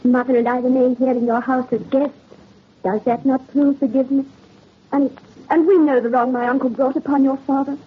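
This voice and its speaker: A woman's voice: sits high, fairly even intonation, a little fast, husky. An actress playing a shy, sweet young country girl in 1930s radio melodrama, speaking in an earnest, demure, wistful way. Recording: tinny, phone-like old radio recording.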